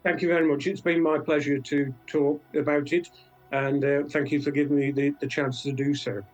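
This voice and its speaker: A British man speaking as a guest author in a conversational interview, halting and reflective, often trailing into asides. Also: a little high, level tone, soft background music, rough recording. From Britain.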